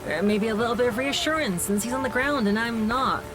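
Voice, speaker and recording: A woman's voice: a little fast, natural voice. A woman playing a level-headed crisis coordinator, narrating an audio drama in a casual, conversational, matter-of-fact way. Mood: reflective, casual. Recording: music and engine rumble behind.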